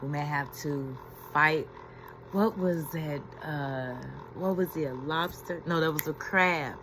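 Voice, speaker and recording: Low-pitched lady coach talking real slow about healing, says things twice, laughs at her own story, steady noise behind. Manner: repeats phrases, laughs while retelling stories. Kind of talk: reflective inspirational talk.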